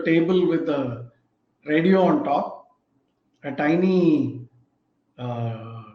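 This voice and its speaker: A man's voice: a little high, warm tone. Indian English man, 50s, reflective host, lightly raised voice, lo-fi room echo. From Tamil Nadu, India. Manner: reflective, unhurried storytelling with fillers. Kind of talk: casual book talk. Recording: echoey, dull lo-fi room sound.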